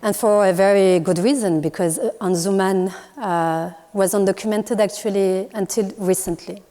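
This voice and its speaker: A woman from Morocco whose first language is Moroccan Arabic, giving a thoughtful public talk as an artist and pausing often with fillers. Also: mid-range voice, mellow. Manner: thoughtful, hesitant with many fillers and restarts. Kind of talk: public talk at a university event. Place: lecture hall. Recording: long hall reverb.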